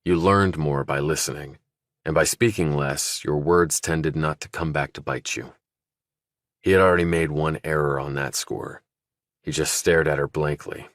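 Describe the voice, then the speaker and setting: A man's voice: a bass voice, fairly even intonation, normal speed, fairly bright tone, no echo, clean studio sound. A man reading scripted thriller narration as an audiobook narrator.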